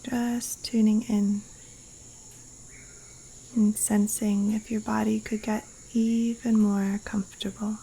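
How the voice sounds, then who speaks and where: A woman guiding a yoga nidra meditation in a hushed, breathy, soothing voice with long pauses, recorded outdoors in the jungle. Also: mid-range voice, level tone, low-quality recording. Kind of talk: guided meditation. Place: outdoors in a Costa Rican jungle. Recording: faint rainforest insect and cricket noise. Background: insects and rainforest wildlife sounds.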